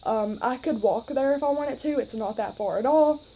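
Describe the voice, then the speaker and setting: A woman's voice: a little high, moderate pace, dark tone, slight background noise, rough recording. A woman of twenty with a Southern accent, chatting casually to her phone camera in a rambling way with lots of fillers. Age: 20s. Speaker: vlogger.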